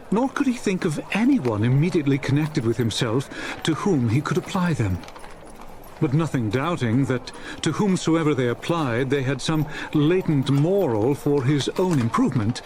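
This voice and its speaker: A man narrating a dramatised classic story in an expressive, scripted audiobook style. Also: medium pitch, a little hiss or hum.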